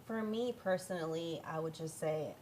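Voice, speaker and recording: A woman's voice: low voice, normal speed, darkish tone, a little hiss or hum. A woman from New York, a podcast panel guest, earnestly explaining step by step. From New York, United States. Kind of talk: panel discussion. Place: podcast studio.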